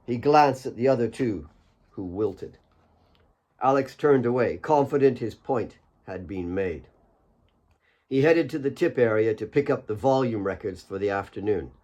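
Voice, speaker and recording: A man narrates a dramatic story as an audiobook. His voice is of middle pitch, and he reads scripted prose as its author. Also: lively intonation, a little slow, darkish tone. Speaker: author reading own audiobook.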